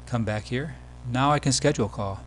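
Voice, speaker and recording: Male demo presenter, casual screencast tutorial delivery, mid-pitched voice, slight hum and noise in the recording. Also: a little fast.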